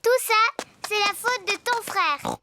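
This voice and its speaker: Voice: very high voice, animated, slow, very noisy, full character voice. Une voix de petite cochonne de dessin animé, fillette joyeuse et autoritaire, à l'français. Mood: bossy. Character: little girl pig, cheerful, bossy, cartoon. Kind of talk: children's cartoon dubbing. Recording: music behind the voice.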